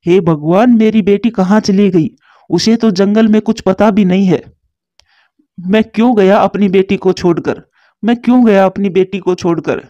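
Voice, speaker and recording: A woman voices a devoted father pigeon in a children's cartoon. The tone is mellow and dark, tender yet fretful. The recording is dry and somewhat muffled. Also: mid-range voice, moderate pace, no echo, natural voice. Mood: panicked. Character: doting, anxious father pigeon.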